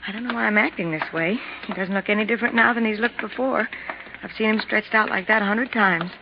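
Actress as rattled, chatty American neighbor woman, mid-pitched, rambling and unpolished, muffled old radio recording. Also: quick pace, background noise, natural voice. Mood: shaken. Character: female apartment neighbor, shaken, chatty, plain-spoken. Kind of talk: 1950s radio drama acting.

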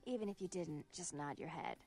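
Purring flirtatious game vixen. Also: female voice, on the low side, brisk, darkish tone. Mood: flirty. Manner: coy, playfully seductive. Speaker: game character.

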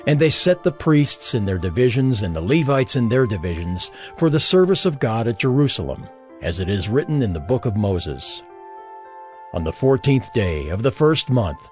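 A male narrator reads scripture for a dramatized audio Bible. His mid-pitched voice moves widely and expressively while staying measured and solemn, over a light bed of music. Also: very animated.

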